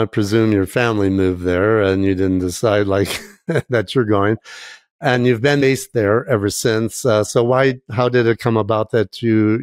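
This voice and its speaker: A man's voice: on the low side, a little mellow, dry recording. Man hosting an interview, dry sound. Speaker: podcast host. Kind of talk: interview questions.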